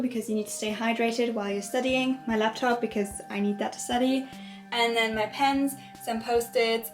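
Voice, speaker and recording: A young woman with German as her first language, casually showing her dorm room and often doubling words for emphasis. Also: fairly high pitch. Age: young adult. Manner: chatty, repeats words for emphasis ("very, very"). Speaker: vlogger giving a dorm room tour. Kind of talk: casual tour narration.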